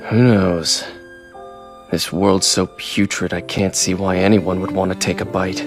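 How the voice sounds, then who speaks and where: Low-voiced, surly cynical fighter. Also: male voice, flat delivery, unhurried. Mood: scornful. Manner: blunt, dismissive. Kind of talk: video game voice acting. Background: music.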